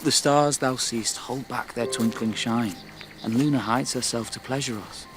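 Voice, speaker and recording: An actor playing an ardent, gallant young soldier, speaking romantic verse playfully in a classical radio drama. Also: male voice, medium pitch, moderate pace, background noise, natural voice. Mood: tender, reassuring.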